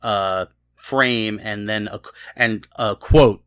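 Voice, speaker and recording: A man co-hosting a podcast in casual chat, haltingly repeating words as he starts. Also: fairly low pitch, a bit bright, smooth, no echo, clean studio sound. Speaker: podcast co-host. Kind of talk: casual chat, TV episode discussion.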